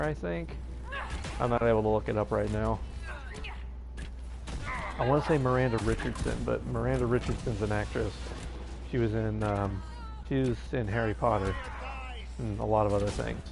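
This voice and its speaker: A man's voice: on the low side, creaky, a little mellow. A man streaming a game, chatting casually and hesitantly into his own microphone, with lots of fillers and restarts. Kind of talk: casual live-stream chat. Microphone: own plugged-in streaming microphone.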